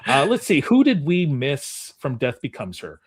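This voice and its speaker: A man hosts a casual game show podcast in a mid-pitched voice with animated intonation. He often cuts himself off and picks up again. The sound is dry, with little room around it. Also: moderate pace, husky, no echo. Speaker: host of a movie trivia game podcast. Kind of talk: casual chat, hosting a game.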